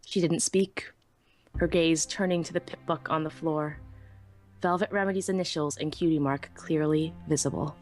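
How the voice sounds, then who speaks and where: A woman playing a small, anxious young female pony who narrates her own story in an expressive, earnest way. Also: low-pitched, moderate pace, faint music behind, natural voice, low-quality recording. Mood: tense, dread. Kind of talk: dramatic first-person story narration.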